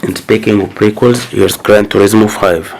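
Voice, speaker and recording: A man's voice: lowish voice, steady tone, normal speed, gravelly, a little mellow, slight background noise. A man with a cold, talking casually and hesitantly as he goes through his new game collection. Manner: hesitant, many fillers and restarts. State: sick with a cold. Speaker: gaming channel host. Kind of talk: casual unboxing commentary.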